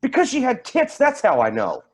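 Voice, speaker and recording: An actor playing a rattled, defensive man giving halting, emphatic testimony in a dramatic staged reading. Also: extremely high pitch, huge pitch swings, slightly rough, full character voice. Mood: irritated. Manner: halting, emphatic, repeats himself. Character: rattled, defensive man testifying about an eerie deer encounter.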